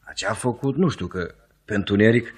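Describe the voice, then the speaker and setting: An actor playing a simple, bewildered young servant with a husky voice in a Romanian radio play. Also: male voice, on the low side. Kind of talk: Romanian radio theatre acting. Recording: 1950s radio theatre recording.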